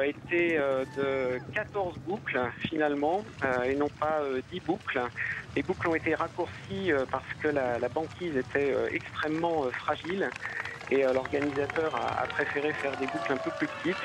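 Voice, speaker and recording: A man's voice: mid-range voice, vocal fry. A man runner speaking by phone in an interview, hesitant, with many fillers and restarts. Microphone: telephone. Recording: tinny phone line.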